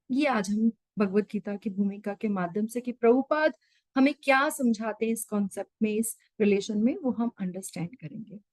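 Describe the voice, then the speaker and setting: A woman teaches a scripture class over a video call, slipping English words into her explanations and working through simple everyday examples. Her voice sits fairly high and sounds dry and close, with almost no room around it. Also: darkish tone, no room sound, low-quality recording. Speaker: Gita study session leader.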